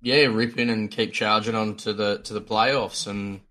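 An Australian man rambles through a voicemail in a low but bright, forceful voice. He swears constantly and speaks in laid-back slang. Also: steady tone, moderate pace. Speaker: caller.

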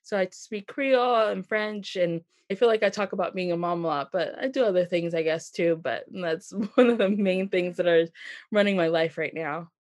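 A woman's voice: fairly low pitch, normal speed, a little mellow, no echo. A young woman, a mother of five, talking earnestly and modestly as a podcast guest. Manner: earnest, modest, chatty with fillers. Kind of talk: casual interview chat.

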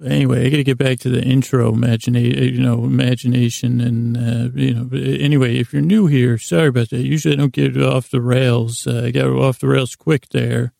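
Creaky, mumbling man rambling softly. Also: medium pitch, level tone, no echo, studio quality. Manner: mumbling, lulling and soothing; halting, meandering, often trailing off mid-thought. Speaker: host of a bedtime story podcast. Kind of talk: rambling, improvised bedtime monologue.

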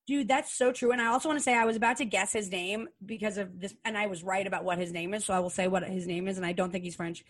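American woman, podcast co-host. Gushing, rambling, profane casual talk; mid-range pitch, dry close recording. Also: fairly even intonation, fast talker, hardly any pauses, no echo. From New Jersey, United States. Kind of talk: casual, explicit pop-culture chat.

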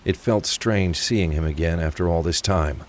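A man's voice: deep voice, moderate pace, a little mellow. A man reading scripted narration for a crime thriller audio drama. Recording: faint rain and traffic ambience. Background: rain, vehicle sounds.

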